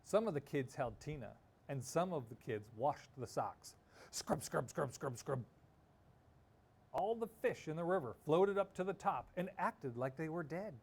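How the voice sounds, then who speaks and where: A man reading a children's story aloud expressively, with theatrical delivery and dramatic pauses. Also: a little high, animated, darkish tone, slightly rough. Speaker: storybook reader.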